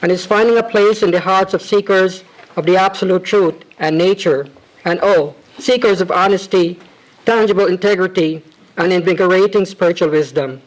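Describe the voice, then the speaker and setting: A man reading a formal devotional tribute letter to his spiritual teacher, measured and reverent, with ornate phrasing. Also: high voice, poor audio. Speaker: disciple reading a homage.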